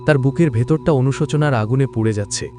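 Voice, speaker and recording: A man's voice: mid-range voice, quick pace, warm tone, soft background music. A man narrating a scripted horror story in a theatrical, suspenseful and emotive manner.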